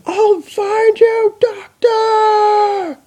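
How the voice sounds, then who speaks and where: A man's voice: mid-range voice, wildly swooping pitch, fairly quick, gravelly, darkish tone, breathy edge, exaggerated voice. A man voicing a shrieking, imperious female changeling queen in a fan reading. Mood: screeching. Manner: screeching, imperious.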